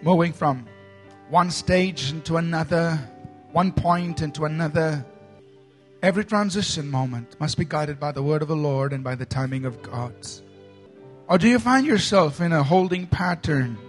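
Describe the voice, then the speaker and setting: A man from India, a pastor preaching a sermon with dramatic swings between loud emphasis and soft asides. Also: fairly high pitch, moderate pace, soft background music.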